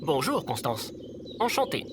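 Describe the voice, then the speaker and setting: A man acts out a robot scarecrow from an audio drama, earnest and formal yet very cheerful. The intonation swings widely, and loud outdoor insect and animal noise surrounds the voice. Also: a little high, huge pitch swings, unhurried. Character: cheerful tin-man robot scarecrow, courteous and proudly contented. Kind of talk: audio fiction acting.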